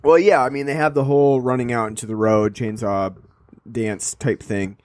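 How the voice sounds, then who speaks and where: A man's voice: medium pitch, steady tone, a little mellow, dry recording. A man co-hosting a podcast, chatting casually and sarcastically about a film, rambling with frequent fillers. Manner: rambling, sarcastic, quick mock voices. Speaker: podcast co-host. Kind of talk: casual film review chat.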